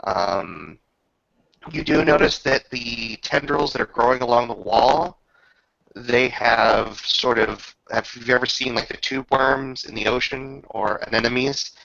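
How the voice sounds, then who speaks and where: A man's voice: medium pitch, unhurried, gravelly, sharp tone, no echo, lo-fi sound. A man improvising descriptive narration as game master of a tabletop roleplaying session.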